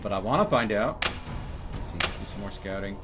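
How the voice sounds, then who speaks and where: A man's voice: lowish voice, expressive, moderate pace. A man giving casual gameplay commentary as he streams a video game. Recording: prominent game music behind voice.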